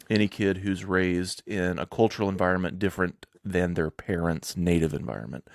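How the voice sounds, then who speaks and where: Low-voiced man, rambling podcast host. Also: no room sound, clean studio sound. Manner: rambling, with many restarts and filler "like". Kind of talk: casual conversational interview.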